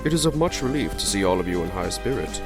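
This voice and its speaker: A performer voicing a formal, gray-haired male butler in a fan audio drama, deferential and measured. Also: deep voice, very animated, music in the background.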